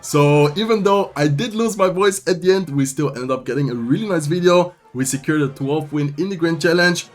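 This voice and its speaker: A man's voice: on the high side, normal speed, hardly any pauses. A young man giving upbeat live commentary over a mobile strategy game, talking through each move while he says he is losing his voice. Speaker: gaming commentator.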